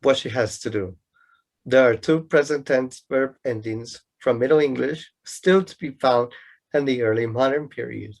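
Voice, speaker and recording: An actor playing a know-it-all young student in a radio play, lecturing pedantically and with some exasperation in a husky voice. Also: mid-range voice, normal speed, darkish tone. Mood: pedantic. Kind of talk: amateur radio play acting.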